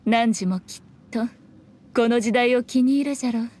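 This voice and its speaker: A woman plays a fox shrine maiden from an anime video game. Her female voice sits high, with archaic, haughty phrasing. She comes across as sly, elegant and playful, over faint background noise. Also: steady tone, moderate pace, darkish tone, lightly acted. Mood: fond, amused.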